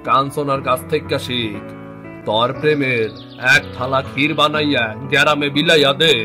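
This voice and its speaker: A man's voice: medium pitch, steady tone, moderate pace, music in the background, natural voice. A man playing a shrewd, teasing village uncle in a cartoon, giving folksy advice in an eastern Bengali accent. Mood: knowing advice. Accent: eastern Bengali (Bangladeshi). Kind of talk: dramatic cartoon voice acting.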